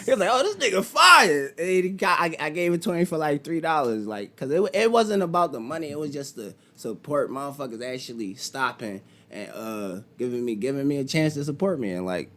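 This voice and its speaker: A young rapper man with a Philadelphia accent talks casually and animatedly, his voice sitting a little higher than most men's. He tells his stories in loose bursts, and his voice jumps up when he gets excited. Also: brisk, hardly any pauses. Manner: animated, laughing storyteller. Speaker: rapper guest. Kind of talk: casual podcast chat.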